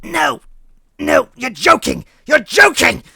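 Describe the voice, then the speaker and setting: A man's voice: extremely high pitch, very animated, bright, forceful tone, pitched above the performer's own voice, exaggerated voice. A man voicing an evil old witch, a defiant sore loser, screechy and hammy, in a story reading. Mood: outraged disbelief. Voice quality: screechy, gravelly. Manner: hammy, sputtering, drawn-out outrage.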